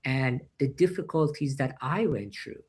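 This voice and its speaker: Male interview guest, mid-pitched, earnest and reflective, slightly halting English, heard over a video call. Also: a little mellow, husky. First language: Persian. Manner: earnest, with frequent "uh" and non-native grammar slips. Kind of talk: reflective personal storytelling.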